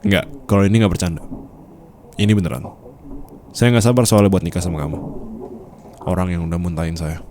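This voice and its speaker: A man's voice: low-pitched, fairly even intonation, brisk, long pauses, darkish tone, a little hiss or hum, natural voice. A man with an Indonesian accent playing a possessive, teasing boss and doting fiancé in an intimate ASMR roleplay, flirtatious and playfully domineering. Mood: teasing, affectionate. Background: ambient room sound effects.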